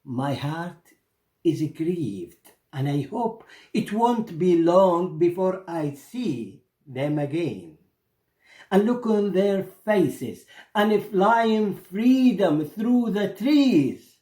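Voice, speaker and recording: A captive songbird from a storybook fable, played by a man with an Iraqi Arabic accent, yearns for freedom in slow, plaintive lines. The pitch rises and swoops with longing, in a roomy space. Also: very animated, mellow, full character voice. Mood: sorrowful longing. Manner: plaintive, poetic, drawn-out delivery. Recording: roomy echo.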